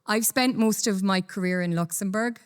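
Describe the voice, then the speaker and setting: A woman from Ireland with an Irish accent giving a lively, conversational conference talk. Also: mid-range voice, moderate pace, smooth. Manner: lively, self-deprecating, conversational. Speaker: conference speaker. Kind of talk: conference presentation. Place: conference room.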